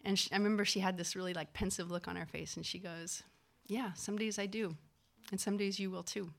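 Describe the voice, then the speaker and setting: A woman, a physician assistant, telling a personal story in a casual, animated way, acting out the people she quotes, with lots of fillers. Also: mid-range voice, fairly quick, creaky.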